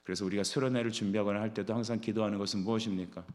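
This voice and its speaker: A man's voice: fairly low pitch, steady tone, rapid-fire, darkish tone. A man preaching an expository sermon as a pastor, exhorting his listeners and often putting rhetorical questions to them.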